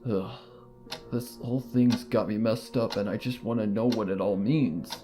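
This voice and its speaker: A nervous little baby dragon, as a male narrator reads him. His halting, shaken speech keeps trailing off, set against a faint bed of music. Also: fairly low pitch, fairly even intonation, pitched below the performer's own voice, close to an everyday voice. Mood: weary. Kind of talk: audiobook reading of fan fiction.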